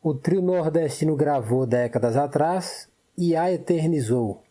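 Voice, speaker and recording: A man's voice: fairly high pitch, unhurried. A man presenting a radio culture segment in a formal, informative scripted read.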